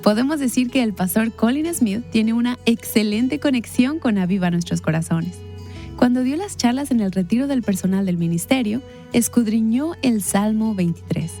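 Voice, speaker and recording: A female announcer reads scripted narration in a smooth, polished way. Her voice is fairly high yet mellow and soft in tone. Faint music plays behind her. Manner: smooth, read-aloud announcing.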